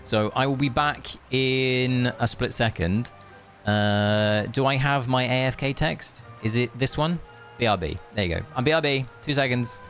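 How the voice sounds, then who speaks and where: Guy live streaming a game, gravelly voice, rambly and jokey, music behind him. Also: mid-range voice, measured pace, a bit bright. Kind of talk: casual game stream chat, reading out story dialogue.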